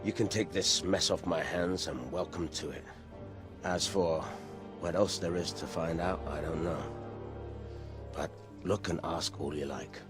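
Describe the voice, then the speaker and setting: An actor playing a gruff, weary village bailiff in a video game, blunt and grudging in how he talks. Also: sits low, fairly even intonation, measured pace, music in the background. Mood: resigned. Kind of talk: video game cutscene acting.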